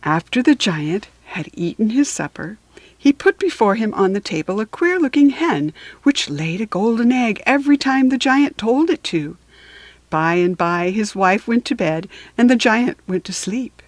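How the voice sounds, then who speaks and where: A woman reading a children's story aloud for beginning readers, measured and deliberate, with storytelling emphasis. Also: mid-range voice, very animated, no echo. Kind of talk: slow, careful read-aloud for beginning readers.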